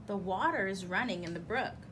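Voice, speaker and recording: A woman's voice: medium pitch, moderate pace, noisy background, natural voice. A woman voicing an eager, insistent young girl groundhog in a children's storybook. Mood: insistent, excited.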